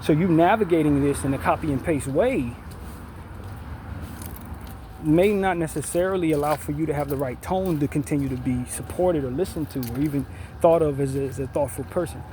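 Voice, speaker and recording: A man giving a measured, deliberate opinion monologue as an online commentator, stressing words emphatically and often restarting his sentences. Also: fairly high pitch, mellow, a little hiss or hum.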